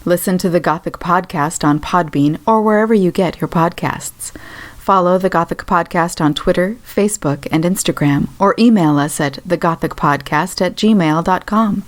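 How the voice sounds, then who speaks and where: A woman announces the credits of a podcast from a script. Her voice is a little lower than most women's. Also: normal speed.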